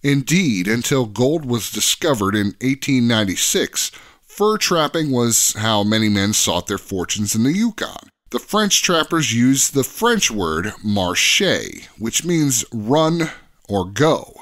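A man's voice: medium pitch, very animated, slow, a bit bright. A man giving an informative, scripted-sounding podcast explanation as a guest expert, with an articulate storytelling delivery.

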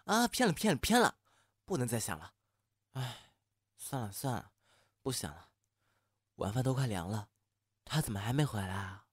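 A flustered young man in an audio drama, played by a male actor, his voice swinging widely in pitch on a dry, close recording. He mutters, sighs and questions himself like someone shyly and impulsively in love. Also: big pitch swings, moderate pace, long pauses, breathy edge, dry recording. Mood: agitated, then anxious, brooding. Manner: muttering, agitated, sighing, self-questioning. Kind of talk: Chinese audio drama acting.

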